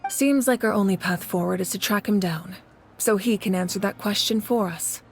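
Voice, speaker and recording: A woman acts a female warrior leader in a dramatic video game. She is composed and caring, speaking with measured authority at a middle pitch. Music plays clearly behind her earnest, resolute delivery. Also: close to an everyday voice. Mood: calm, sincere.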